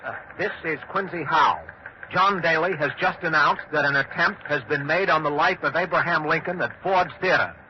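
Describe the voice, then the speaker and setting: An old, dull radio recording with faint noise. An actor plays an earnest male newsman with a slightly raised pitch. He delivers grave, emphatic bulletins in 1940s radio drama style. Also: moderate pace, natural voice. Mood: flustered, grave. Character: earnest male studio newsman handling breaking news. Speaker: radio news anchor.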